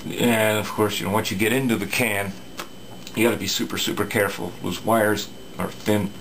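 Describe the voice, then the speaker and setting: Gravelly low-voiced man explaining radio repair. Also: fairly even intonation, a bit bright, a little hiss or hum, poor audio. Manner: halting, many fillers and restarts. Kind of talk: casual workbench repair talk.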